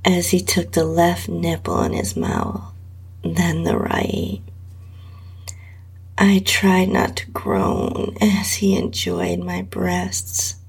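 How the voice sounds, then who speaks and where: A woman narrating intimate erotic fiction in a sultry, lingering, breathy voice that often drops to a whisper. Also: fairly low pitch, wildly swooping pitch, extremely slow. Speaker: podcast host reading own story. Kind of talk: slow, intimate erotica narration in the first person.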